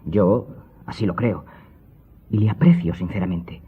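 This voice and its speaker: A man's voice: low-pitched, a little slow, husky, exaggerated voice. A man playing an earnest young nobleman, a polite and trusting son, in a radio drama. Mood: earnest, defensive. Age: young adult. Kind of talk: Spanish radio drama acting. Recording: muffled phone-like audio with music.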